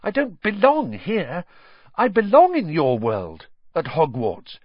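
A man's voice: fairly high pitch, wildly swooping pitch, slow pace, breathy edge, dry recording, dull audio, pitched above the performer's own voice, exaggerated voice. A man voicing an anxious, earnest twelve-year-old boy who stammers when startled. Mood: desperate. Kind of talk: audiobook character voice.

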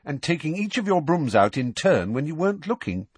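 A man voicing a bookish, brisk, exasperated teenage girl in an audiobook, in a lecturing manner. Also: on the high side, very animated, slightly rough, no echo, pitched above the performer's own voice.

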